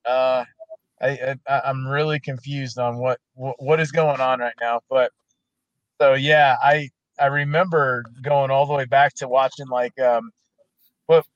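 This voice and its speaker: A man talking on a video call, halting and restarting with repeated words. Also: medium pitch, fairly even intonation, no room sound, lo-fi sound. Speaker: veteran guest. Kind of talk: casual video-call chat.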